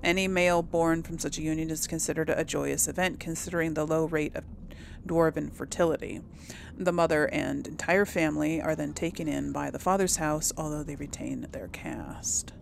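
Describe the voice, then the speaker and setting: A woman's voice: low voice, fairly even intonation, moderate pace, gravelly, a little hiss or hum. A woman hosting a casual let's-play, reading lore entries aloud and thinking aloud with many ums.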